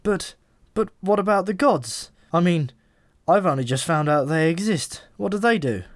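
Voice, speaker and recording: A man's voice: sits high, expressive, normal speed, no room sound, studio quality, voice pushed up. A man playing a bewildered ordinary man in an audiobook, hesitant and puzzled in his questions.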